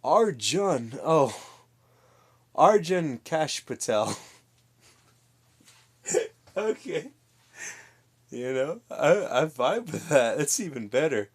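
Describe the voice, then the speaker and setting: A man in his late twenties, hosting a relaxed live stream and reading viewer messages aloud. He giggles often and speaks slowly, his somewhat husky voice rising and falling in lively swings. The tone is casual and chatty throughout. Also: fairly high pitch. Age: 20s. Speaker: livestream host. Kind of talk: casual chat with chat while painting.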